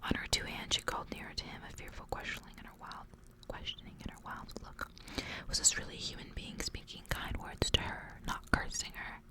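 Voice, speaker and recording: A woman reads a horror story in a soft, breathy ASMR whisper, narrating like a storybook. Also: a little fast. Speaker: ASMR reader.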